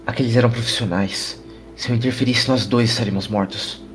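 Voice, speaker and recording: A streetwise reporter played by a man talks in blunt, slangy Brazilian Portuguese at a middling male pitch. He is cynical and irritable, acting in a cyberpunk audio drama with faint music underneath. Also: steady tone, a little fast, close to an everyday voice. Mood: irritated, blunt.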